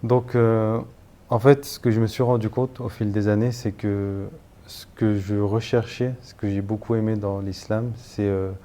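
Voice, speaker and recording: A man's voice: on the low side, little pitch movement, a little slow, warm tone. A man in his thirties from northern France, a guest telling his story in an interview, hesitant with many fillers and restarts.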